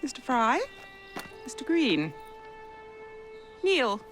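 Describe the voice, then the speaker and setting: An actress playing a gracious, teasing elderly queen in a video game cutscene, speaking in a formal, measured way. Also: sits high, sing-song, fairly bright tone, soft background music, full character voice. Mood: regal.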